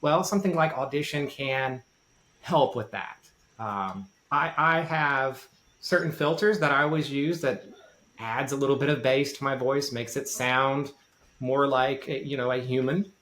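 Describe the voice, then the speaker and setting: A man's voice: a little slow, a bit bright. Man explaining casually in an echoey room. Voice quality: light voice without much bass. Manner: informal, self-deprecating. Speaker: learning developer giving advice on a podcast. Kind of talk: casual explanatory podcast talk. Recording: roomy, echoey sound.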